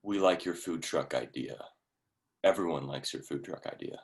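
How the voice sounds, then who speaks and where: An actor in a staged play reading, playing a blunt but awkwardly tactful investor friend, with a wry, conversational delivery. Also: male voice, very deep voice, normal speed. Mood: awkward, frank.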